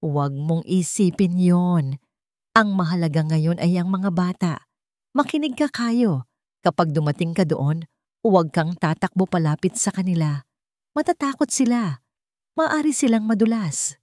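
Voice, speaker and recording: A woman's voice: lowish voice, lively intonation, unhurried, darkish tone, no room sound, studio quality. A woman voicing a determined heroine who steadies herself against fear in an audio love story. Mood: steady, commanding.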